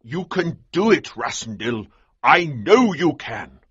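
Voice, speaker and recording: A man voicing a bold, commanding army colonel in an audiobook, with a husky voice and an urgent, emphatic delivery. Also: on the high side, very animated, takes it slowly, a bit bright, dry recording, lo-fi sound, pitched above the performer's own voice, exaggerated voice. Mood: insistent. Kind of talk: audiobook character dialogue.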